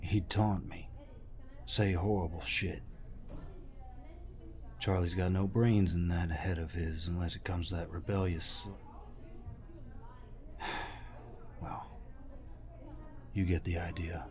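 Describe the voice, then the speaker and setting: An actor playing a gruff, protective older war veteran in an intimate audio roleplay, deep-voiced, tender and teasing. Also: male voice, level tone, slow pace, pauses often, a little mellow. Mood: troubled, confessing.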